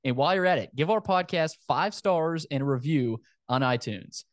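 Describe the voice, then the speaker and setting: A man's voice: fairly high pitch, animated, moderate pace, no echo, clean studio sound. A man hosting a sports podcast in a casual, conversational way, often repeating phrases for emphasis.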